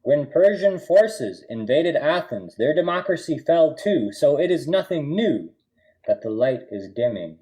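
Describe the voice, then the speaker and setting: A man's voice: a little high, sing-song, measured pace, dark tone, rough recording. A man performing a theatrical political monologue in an earnest, emphatic, oratorical manner. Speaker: solo performer.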